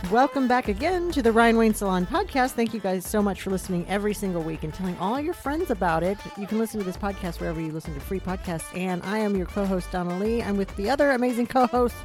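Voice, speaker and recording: Woman, podcast co-host, mid pitch, encouraging, music bed behind. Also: animated, fast talker, few pauses. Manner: warm, encouraging, laughs along often. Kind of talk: podcast intro and casual chat.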